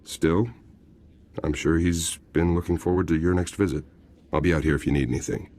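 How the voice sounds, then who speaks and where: An actor playing a plain-spoken, loyal guard captain in a video game, talking in a friendly, respectful, informal manner. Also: male voice, sits low, steady tone, a little fast, darkish tone, slight background noise. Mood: frank, apologetic. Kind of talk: video game cutscene acting.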